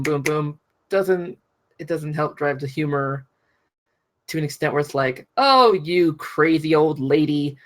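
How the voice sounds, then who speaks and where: A woman giving casual feedback in an online voice chat, hesitant and broken in phrasing, with animated emphasis. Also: sits low. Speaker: table-read voice performer giving feedback. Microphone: push-to-talk in online voice chat.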